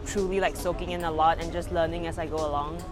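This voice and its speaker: A woman from Singapore chats casually as an interviewed filmmaker, in a fairly low voice. Her phrasing is informal and loose, with music clearly audible behind her. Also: fairly even intonation, quick pace. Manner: informal, peppered with "like" and "you know".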